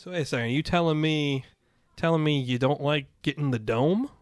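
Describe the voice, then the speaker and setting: A man around forty in relaxed podcast banter, playing the calmer straight man and teasing his friend. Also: a little high, a little fast, smooth, dry recording, studio quality. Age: 40s. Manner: easygoing, plays straight man, mild teasing. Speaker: podcast co-host. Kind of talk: casual banter.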